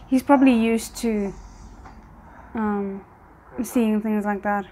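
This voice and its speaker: A woman giving casual reaction commentary, thinking aloud in an expressive way. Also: medium pitch, slow, slight background noise, low-quality recording. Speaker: reaction video host.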